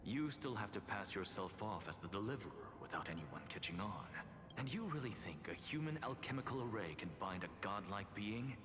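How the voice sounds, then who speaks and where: An actor playing a calm, wry, scheming male alchemist genius in a game. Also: lowish voice, brisk, close to an everyday voice. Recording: game audio with reverb and music.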